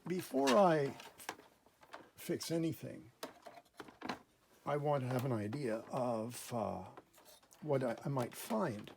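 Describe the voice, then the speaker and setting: A man hosting a casual hands-on repair demonstration at a workbench, explaining methodically step by step in a gravelly, husky voice. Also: mid-range voice, noisy background. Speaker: host of a repair channel. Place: indoor workbench in a workshop.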